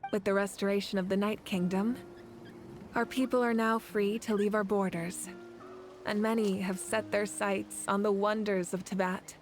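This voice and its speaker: An actress playing a solemn, resolute woman who leads a nation, speaking in measured, earnest and formal lines with tender grief. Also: medium pitch, flat delivery, close to an everyday voice. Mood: tender, reflective. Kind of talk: dramatic video game voice acting. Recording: orchestral game music behind.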